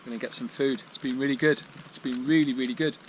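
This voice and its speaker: A man vlogging on a hiking trip, thinking aloud in a relaxed way with fillers and repeats, recorded on an action camera. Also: mid-range voice, fast, slight background noise. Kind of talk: vlog narration to camera. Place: outdoors on a walk, then sheltering in a barn.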